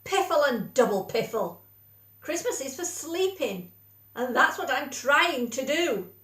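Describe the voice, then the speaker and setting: A woman's voice: mid-range voice, animated, a little slow, fairly bright tone. A woman voicing a grumpy male badger from a picture book, huffing and scornful as she reads him aloud. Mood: huffy. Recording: echoey room, distant mic.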